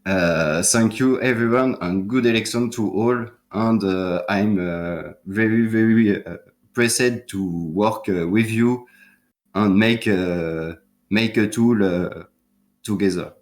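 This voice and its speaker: Halting low-voiced man. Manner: hesitant, many fillers, limited English. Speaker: notary node candidate. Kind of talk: halting self-introduction. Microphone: voice call.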